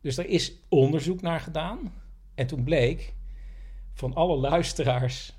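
A man with a husky voice chatting casually as a podcast host, hesitantly searching for his words. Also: on the high side, a little slow, a little mellow. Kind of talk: casual storytelling chat.

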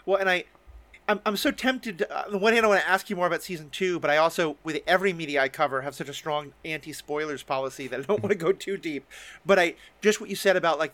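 A man's voice: fairly high pitch, fairly bright tone, dry recording. A man hosting a casual interview, talking in an earnest, wordy way that often circles around its point. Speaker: podcast host interviewing guests.

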